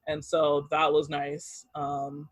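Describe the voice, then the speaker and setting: A woman's voice: low-pitched, monotone, slow pace, dry recording. A woman in her thirties, raised in Japan, chatting casually as an interview guest over a video call, with lots of fillers and easy laughs.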